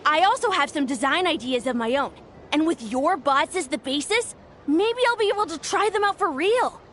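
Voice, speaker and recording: A woman voicing an eager, polite young child inventor in an anime-style game, earnest and excitable. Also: sits high, moderate pace, slight background noise. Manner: earnest, exclamatory.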